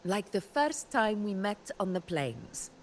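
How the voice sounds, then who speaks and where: Slow, proud warrior woman. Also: medium pitch, very animated, a little hiss or hum. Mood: wry, proud. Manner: measured, dramatic, with weighted pauses. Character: proud, ambitious warrior woman, wry and brooding about fate. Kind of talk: scripted video game story acting. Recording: background music under voice.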